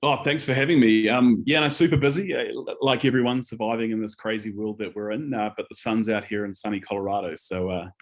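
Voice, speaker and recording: A man with a New Zealand accent speaks in a slightly low voice. He sounds like an executive being interviewed, casual yet earnest and keen. His phrasing is a little hesitant, and he often doubles back on his words. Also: fairly even intonation, fast, hardly any pauses. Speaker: business executive, interview guest.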